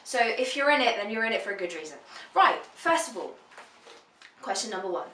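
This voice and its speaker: A woman's voice: medium pitch, very animated, brisk, a bit bright. Frank, swearing young woman. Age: 20s. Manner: frank and chatty, swears freely. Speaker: dominatrix answering viewer questions. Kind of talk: casual vlog Q&A. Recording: roomy echo, voice a bit far from the mic.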